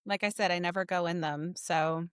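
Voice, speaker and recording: A woman's voice: fairly low pitch, fairly even intonation, moderate pace, smooth, dry recording, studio quality. An American woman from New Jersey co-hosting a podcast, chatting casually and telling little anecdotes. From New Jersey, United States. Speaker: podcast co-host. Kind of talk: casual chat.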